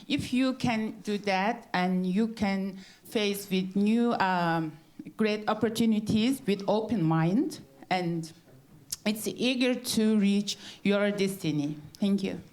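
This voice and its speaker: A woman from Mongolia, a student giving a short prepared speech at a university event, slow and halting as she searches for words in English. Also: mid-range voice, darkish tone. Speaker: student presenter.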